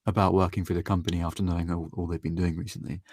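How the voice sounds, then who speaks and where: A man co-hosting a casual podcast, easygoing and agreeable, with a raspy voice today. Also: low voice, level tone, very fast, a little mellow, dry recording. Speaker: podcast co-host, musician and producer. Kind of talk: casual chat.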